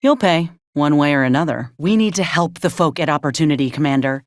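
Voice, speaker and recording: A woman's voice: low voice, a little fast, no room sound, natural voice. A woman playing a wry, plainspoken former combat medic in a video game, loyal to her company, with dry, sardonic, folksy phrasing.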